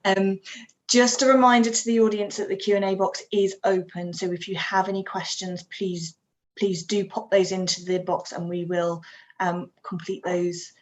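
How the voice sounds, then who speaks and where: A woman's voice: mid-range voice, flat delivery, moderate pace. A woman moderating an online panel who thanks speakers, introduces the next one and reminds the audience about questions in a polite, effusive manner.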